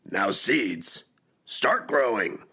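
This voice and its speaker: A gruff toad from a children's storybook, acted out by a man reading aloud. The voice is deep and husky, with slow, emphatic lines full of fretting impatience. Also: heavily acted. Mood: commanding, loud. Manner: blunt, emphatic, dramatic.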